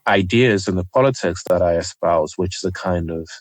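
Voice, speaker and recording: A young man from Nigeria, a journalist guest, talking reflectively in an interview with frequent pauses and ums. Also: deep voice, dry recording. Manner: hesitant, many ums, soft-spoken.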